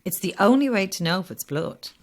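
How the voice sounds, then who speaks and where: An actress playing a skeptical, sharp-tongued journalist in an audio drama, dry and argumentative. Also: female voice, medium pitch, animated, a little fast, lightly acted. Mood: dismissive, irritated. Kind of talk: naturalistic audio drama acting.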